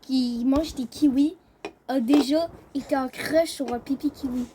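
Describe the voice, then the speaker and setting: A woman's voice: sits high, steady tone, measured pace. A woman chatting casually while gaming, teasing and insistently repeating phrases. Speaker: co-player. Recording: noticeable background noise, clicks.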